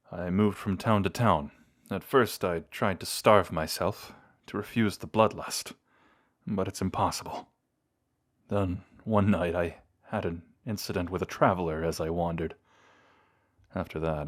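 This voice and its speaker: A man playing a brooding, courteous vampire in an intimate audio roleplay, speaking to a lost love in formal, measured phrases. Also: low voice.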